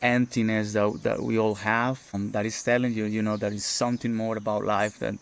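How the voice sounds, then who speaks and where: Gravelly, flat-voiced Colombian man. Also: lowish voice, fairly quick. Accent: Colombian Spanish. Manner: reflective, many fillers and restarts. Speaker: guest, permaculture farmer. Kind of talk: casual podcast interview.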